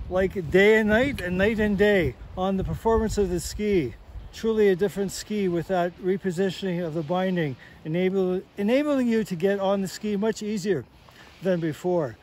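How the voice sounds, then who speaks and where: A man's voice: high voice, normal speed, darkish tone, a little hiss or hum, low-quality recording. A man giving an earnest ski review outdoors on a snowy mountain. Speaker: ski tester. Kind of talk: casual gear review.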